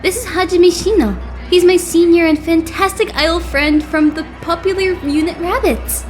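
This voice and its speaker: A woman voicing an excitable young male idol fanboy in an anime game fan dub, gushing and dramatic. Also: very high-pitched, moderate pace, soft background music.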